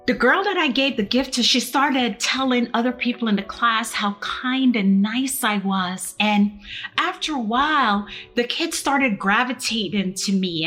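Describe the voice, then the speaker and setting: A woman's voice: a little high, a bit bright. A woman from Jamaica, giving a personal motivational talk in an earnest storytelling manner. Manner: slow, earnest storytelling with pauses. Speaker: motivational speaker.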